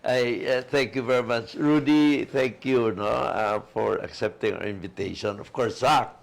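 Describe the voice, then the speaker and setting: A man co-hosting a talk show, speaking haltingly with many pauses and filler sounds. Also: medium pitch, gravelly. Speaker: co-host, attorney.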